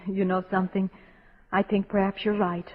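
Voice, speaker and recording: An actress playing a gentle, sincere, caring mother in a 1940s radio drama. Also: medium pitch, flat delivery, moderate pace, close to an everyday voice. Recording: muffled old radio recording with music.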